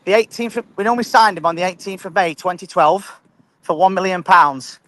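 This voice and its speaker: A man's voice: sits high, a little fast, a bit bright, no echo. A man calling in to a fan show, emphatic, often stumbling and repeating words. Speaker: caller. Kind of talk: casual fan chat.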